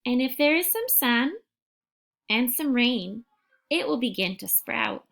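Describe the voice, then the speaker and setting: A woman reading a picture book aloud for young children and giving gentle instructions, phrasing things deliberately and carefully. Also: on the high side, animated, creaky.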